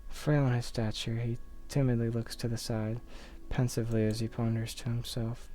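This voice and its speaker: A man narrating a visual novel aloud in an expressive storytelling style, with casual commentary. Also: mid-range voice, moderate pace.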